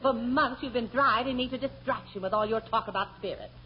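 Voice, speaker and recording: A woman's voice: a little high, fairly quick, slight background noise, close to an everyday voice. Woman acting a bossy wife, muffled old radio. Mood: indignant. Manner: nagging, emphatic, theatrical. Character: bossy, scolding, superstitious middle-aged wife. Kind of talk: 1940s radio comedy acting.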